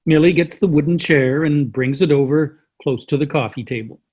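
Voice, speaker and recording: A man's voice: a little high, normal speed, a little mellow, husky. A man narrating, reading out the stage directions of a play in a plain scripted style. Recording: video call play reading.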